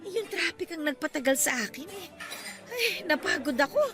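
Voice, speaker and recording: A woman playing an older, playful Filipino mother in a radio drama, chatty and jokingly scolding with warm welcome. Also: very high-pitched, expressive, moderate pace, over music. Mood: apologetic, breezy.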